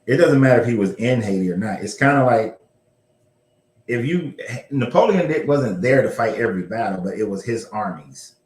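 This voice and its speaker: A man's voice: medium pitch, animated, moderate pace, darkish tone. A man hosting a casual history discussion, talking loosely with some swearing and correcting himself as he goes. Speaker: show host. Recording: roomy echo.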